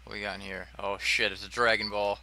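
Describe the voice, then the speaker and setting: A man's voice: lowish voice, flat delivery, a little fast, pushed and bright, slight background noise. A man giving casual, sweary and self-deprecating commentary as he plays a video game. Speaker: let's player.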